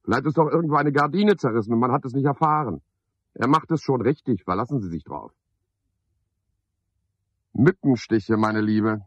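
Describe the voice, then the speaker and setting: An actor in a radio play voicing a cynical, self-satisfied, talkative night caretaker, with a pompous, sardonic, theatrical manner. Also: male voice, mid-range voice, expressive, moderate pace, long pauses, husky, no room sound. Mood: self-righteous. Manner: pompous, sardonic, theatrical one-sided conversation. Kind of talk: 1970s German radio play monologue. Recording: slightly dull 1970s radio recording.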